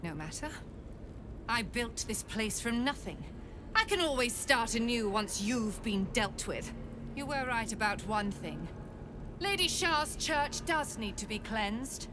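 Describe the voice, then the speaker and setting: A contemptuous female cult leader from a fantasy video game. She draws out her menacing words very slowly, with disdainful swings in pitch, over background noise. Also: expressive. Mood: cold defiance. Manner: menacing, disdainful, drawn-out delivery. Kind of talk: dramatic fantasy game acting.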